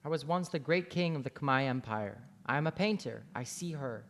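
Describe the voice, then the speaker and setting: A man's voice: mid-range voice, animated, normal speed, natural voice. A man playing a dreamy, lovestruck painter in a table read of a romantic play, with a wistful manner. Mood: lovestruck, wondering. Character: lovestruck dreamy male painter, reincarnated ancient king.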